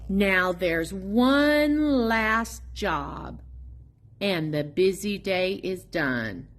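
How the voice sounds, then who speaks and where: A woman reading a children's picture book aloud expressively, in a sing-song, drawn-out way with playful emphasis on sound words. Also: mid-range voice, lively intonation. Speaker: storybook reader at a public library.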